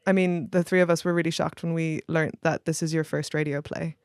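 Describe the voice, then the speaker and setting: A woman's voice: deep voice, steady tone, brisk, clear, resonant tone, no room sound, clean studio sound. A woman hosting a podcast in a conversational introductory style. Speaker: podcast host.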